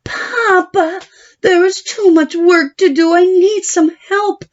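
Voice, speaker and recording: A woman's voice: very high voice, steady tone, slow pace, lo-fi sound, pitched above the performer's own voice. A woman voicing an earnest, overworked baker's daughter in a picture book. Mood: plaintive. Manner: plaintive, earnest. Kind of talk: picture book read-aloud character voice.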